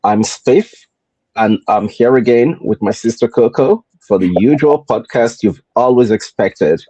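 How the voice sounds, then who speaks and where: A man from Nigeria with a Nigerian accent, hosting a motivational podcast in a deliberate, repetitive rhetorical style. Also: medium pitch, dark tone, breathy edge, no room sound, rough recording. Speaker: podcast host.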